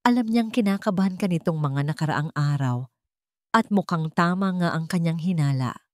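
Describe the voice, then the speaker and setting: A woman's voice: lowish voice, moderate pace, no room sound, studio quality. A woman reading a suspenseful story as a scripted, dramatic narration.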